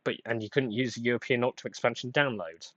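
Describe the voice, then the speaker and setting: A man's voice: mid-range voice, fast talker, dry recording, muffled recording. Rambling young man with a plain voice. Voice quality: plain, somewhat flat voice. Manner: rambling, self-deprecating, hesitant with many uhs and restarts. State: getting over a cold. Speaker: podcast host. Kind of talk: casual solo podcast rambling.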